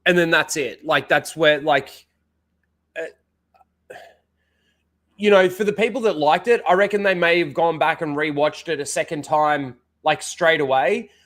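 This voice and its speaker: A man's voice: on the high side, a little slow, pauses often, fairly bright tone. A young man with a blocked nose, hosting a casual livestream, rambling with lots of fillers and greeting people in the chat. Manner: rambling, filler-heavy, reads out chat names. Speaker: live stream host.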